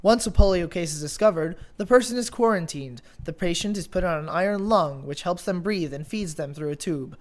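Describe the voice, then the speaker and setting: A woman's voice: fairly low pitch, fairly quick, few pauses, darkish tone. A young woman reading scripted news as an anchor in a mock newscast. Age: young adult.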